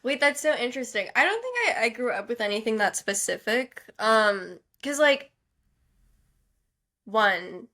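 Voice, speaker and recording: A young woman co-hosting a podcast, chatting casually and reacting in an animated, quick way. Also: fairly high pitch. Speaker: podcast co-host. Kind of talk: casual chat.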